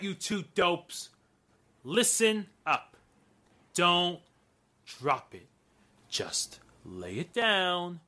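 A man playing a sharp, commanding hero in a 1940s style radio thriller, giving terse orders. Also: on the high side, exaggerated voice. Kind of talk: 1940s radio drama acting.

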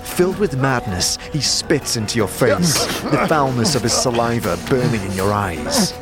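Casting: male audio-drama narrator, theatrical and intense, lively pitch movement, music underneath. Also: moderate pace. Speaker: narrator and performer. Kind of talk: dramatic scripted second-person fantasy narration. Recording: clear music bed behind voice.

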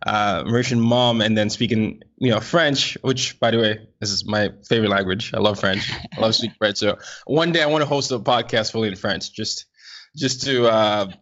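A man's voice: on the low side, normal speed, fairly bright tone, dull audio. A man hosting a podcast, effusive and upbeat, often repeating himself as he talks to listeners and his guest. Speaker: podcast host. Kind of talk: podcast intro and interview.

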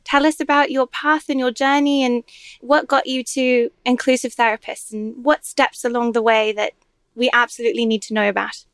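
A woman's voice: fairly high pitch, flat delivery, moderate pace, a bit bright, clean tone, dry recording. A woman co-hosting a podcast, opening the interview with eager, slightly rambling questions. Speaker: podcast co-host. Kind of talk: welcoming interview opener.

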